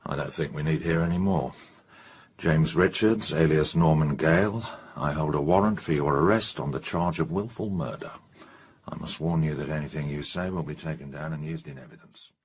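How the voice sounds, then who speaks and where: Very deep, stern police inspector. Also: male voice, breathy edge. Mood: stern, official. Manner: brisk, official. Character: stern, formal male British police inspector making an arrest. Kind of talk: radio drama acting.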